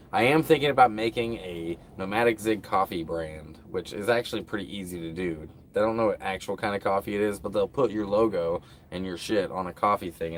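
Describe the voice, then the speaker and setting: A man's voice: deep voice, slight background noise. A man hosting a casual livestream, rambling to his viewers with lots of fillers. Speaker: livestream host.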